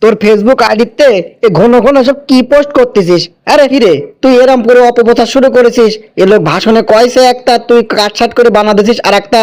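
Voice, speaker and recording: A man's voice: high voice, a little mellow, lightly acted. A man playing an indignant village uncle in a cartoon, hectoring in a Kushtia accent from Bangladesh. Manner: hectoring, rhetorical scolding. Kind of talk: animated cartoon dialogue.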